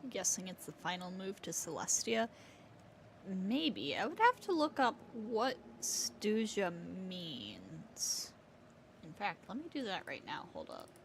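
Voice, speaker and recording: A woman's voice: medium pitch, huge pitch swings, slow pace, creaky, a little hiss or hum. A woman game streamer giving casual live commentary on what she is playing.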